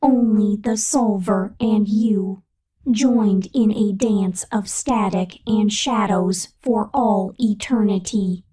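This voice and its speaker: A woman voices an all-powerful, possessive digital entity for ASMR roleplay. Her tone is dark and breathy, hushed and unhurried, as if lulling a favorite toy. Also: medium pitch, steady tone, faint music behind, rough recording. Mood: darkly triumphant.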